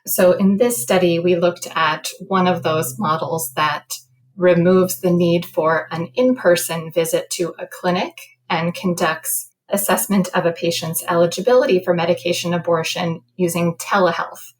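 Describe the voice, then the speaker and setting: A woman's voice: lowish voice, fairly even intonation, moderate pace, slight background noise, poor audio. An American woman speaking as a guest researcher, explaining a study in an interview.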